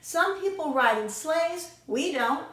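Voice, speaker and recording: A woman's voice: high-pitched, animated, takes it slowly, a bit bright, echoey room, pitched above the performer's own voice, full character voice. A woman voicing an eager, pleading little girl who is insistent and gushing, in a children's storybook.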